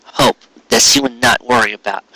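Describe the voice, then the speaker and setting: A man narrates a story in a mid-pitched, bright voice. His delivery is expressive and dramatic, suited to florid scripted fiction. The sound is dry and close. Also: normal speed, no room sound, poor audio.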